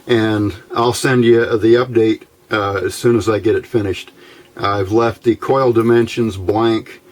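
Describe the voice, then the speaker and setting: A man giving an informal, unscripted hands-on electronics demonstration, talking haltingly and thinking aloud as he goes. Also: on the low side, steady tone, a little mellow. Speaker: radio hobbyist presenting his build. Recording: clear background noise.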